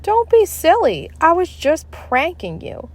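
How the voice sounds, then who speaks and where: A woman's voice: extremely high pitch, huge pitch swings, unhurried, voice pushed up, exaggerated voice. A woman voicing an exasperated female schoolteacher from a children's storybook, emphatic and theatrical. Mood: teasing. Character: exasperated adult female schoolteacher, scolding and teasing.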